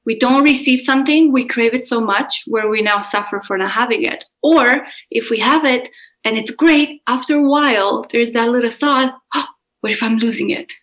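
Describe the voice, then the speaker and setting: A woman's voice: a little high, moderate pace, a bit bright. A woman from Romania with a Romanian accent, a relationship coach speaking openly and earnestly about her own experiences. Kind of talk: candid personal interview answers.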